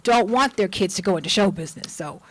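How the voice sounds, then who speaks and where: A woman's voice: mid-range voice, expressive, fast talker, dry recording. A woman hosting a talk show, interviewing her guest with eager, encouraging questions.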